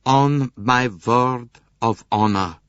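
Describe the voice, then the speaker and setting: A man's voice: mid-range voice, slow pace, a bit bright, clear, resonant tone, no room sound, lo-fi sound, pitched above the performer's own voice. A man acting a proud, impassioned aristocratic count. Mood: solemn, insistent. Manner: earnest, passionate, emphatic. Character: proud, hot-tempered aristocratic count, protective husband.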